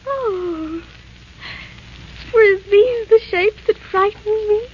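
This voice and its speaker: A woman's voice: very high-pitched, extremely slow, a little hiss or hum, heavily acted. A woman playing a sweet, innocent young fairy-tale princess with childlike, theatrical delivery in 1930s radio style. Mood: relieved, gentle. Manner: wide-eyed, childlike, theatrical exclamations. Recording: muffled 1938 radio recording with music.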